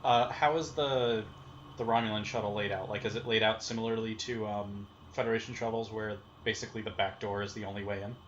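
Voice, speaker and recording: A man's voice: fairly low pitch, steady tone, a little fast, fairly bright tone. A man talking casually as a player in a tabletop role-playing game. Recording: dull lo-fi sound, slight noise.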